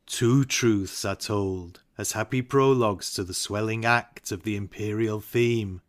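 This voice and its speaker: An actor playing an ambitious, brooding warrior thane in a dramatic Shakespeare reading. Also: male voice, fairly low pitch, a little slow, lightly acted. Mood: brooding, troubled.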